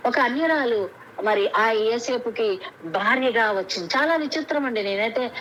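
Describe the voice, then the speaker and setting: High-voiced woman giving a Bible message. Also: moderate pace, a bit bright, poor audio. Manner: earnest, asks questions and answers them herself. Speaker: Bible teacher. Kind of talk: Bible message over a conference call. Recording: faint background noise on a conference call.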